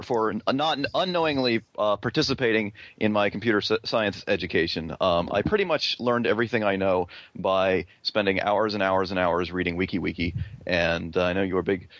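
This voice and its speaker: A man on a podcast panel speaking in a halting way, then in quick bursts. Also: low voice, fairly bright tone, dry recording. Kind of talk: casual panel podcast chat. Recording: dull lo-fi sound.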